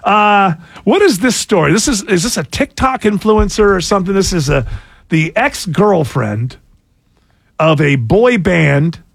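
A man's voice: sits high, animated, unhurried, creaky, fairly bright tone, slightly rough, no echo, studio quality. A man in his sixties hosting a casual talk show, joking sarcastically and laughing often. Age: 60s. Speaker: radio show host. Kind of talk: casual chat.

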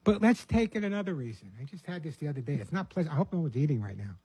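A chatty older man from New York gives pet advice on his show. His voice is husky and mellow, pitched a bit high for a man. It is recorded close on a dry mic. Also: very animated, very fast, no echo. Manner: chatty, repeats words, answers listener emails. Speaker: pet behaviorist host. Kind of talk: casual advice talk.

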